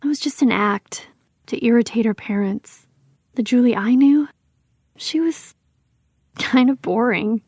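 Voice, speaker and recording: A woman playing a missing woman's protective, bitter best friend, talking in a casual, halting, emphatic interview style. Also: a little high, a little mellow, no echo, clean studio sound. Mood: defensive, insistent. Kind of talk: acted documentary interview, conversational.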